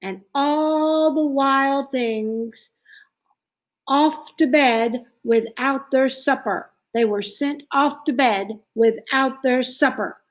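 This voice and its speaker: A woman reading a children's picture book aloud in a dramatic, expressive way, stretching out phrases and dropping into hushed tones. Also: high-pitched, slow. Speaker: storybook reader.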